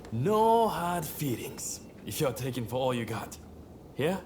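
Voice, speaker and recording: A man's voice: mid-range voice, big pitch swings, slow pace, background noise. A man playing a cocky, flamboyant rebel samurai and gambler in a video game cutscene, with a sardonic, theatrical drawl. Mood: amused.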